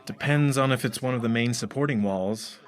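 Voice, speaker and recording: An actor playing a blunt, easygoing house builder in a romantic comedy radio play. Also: male voice, mid-range voice, a little fast, close to an everyday voice. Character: blunt, easygoing male house builder, proud of his work.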